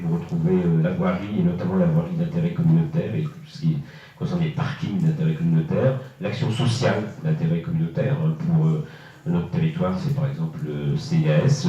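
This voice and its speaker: A man with a low, mellow voice presents to a municipal council as a councillor. He explains step by step, haltingly, with many drawn-out hesitations. The sound is heavily echoing, picked up by a distant microphone. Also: fairly even intonation. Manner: halting, hesitant delivery with frequent long filler pauses. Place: reverberant indoor meeting room. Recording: echoey, muffled room, distant mic.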